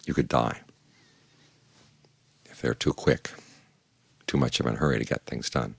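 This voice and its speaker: Very deep gravelly older monk. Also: male voice, no room sound. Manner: calm, measured, plain-spoken teaching. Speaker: Buddhist monk giving a Dhamma talk. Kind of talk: evening meditation talk.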